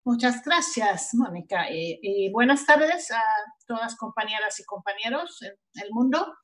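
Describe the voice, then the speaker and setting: A woman's voice: fairly high pitch, lively intonation, a bit bright. A Swedish woman, speaking Spanish as a second language, reads a prepared talk carefully as a panelist on a video call, with small hesitations. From Sweden. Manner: careful, deliberate reading with hesitations. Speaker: social worker, panelist.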